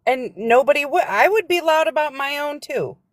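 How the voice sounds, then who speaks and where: A woman's voice: high-pitched, expressive, moderate pace, dry recording, studio quality. A woman, a guest on a livestream, speaking earnestly and lecturing, sometimes searching for her words. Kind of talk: casual livestream chat.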